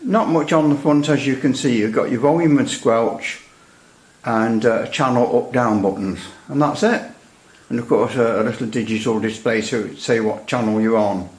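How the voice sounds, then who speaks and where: A man giving a casual hands-on equipment demonstration as a hobbyist, thinking aloud in a halting way. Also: medium pitch, a little mellow. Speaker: radio repair hobbyist presenter. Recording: echoey room.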